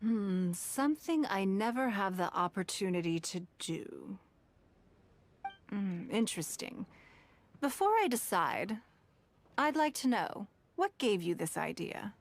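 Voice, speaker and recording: A woman voicing a poised, shrewd, wealthy businesswoman in a video game, speaking in a calm, measured, elegant way. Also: medium pitch, no room sound. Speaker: game character.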